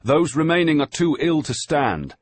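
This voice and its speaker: A thin, serious ship's surgeon calling out over a storm, raised in pitch and volume yet measured, as a male narrator puts him on. The voice is husky and dry, recorded close. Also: very animated, no echo. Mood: shouting earnestly. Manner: shouting, earnest, measured.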